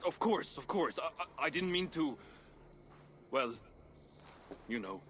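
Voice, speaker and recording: Blunt, forceful grumpy dwarf smith. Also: male voice, on the high side, very animated, takes it slowly, full character voice. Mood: grudging. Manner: blunt, curmudgeonly. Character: gruff, grudging male dwarf smith, proud and blunt. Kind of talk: video game cutscene acting. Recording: clear background ambient noise.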